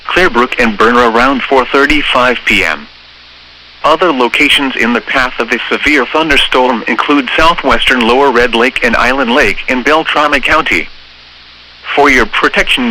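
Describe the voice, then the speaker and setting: Robotic text to speech guy reading weather warnings, really bright and sharp, bit of radio noise. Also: medium pitch. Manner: formal, measured, impersonal delivery. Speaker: automated weather radio announcer. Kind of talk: scripted emergency weather bulletin. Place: automated broadcast received over radio. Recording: noisy weather radio broadcast.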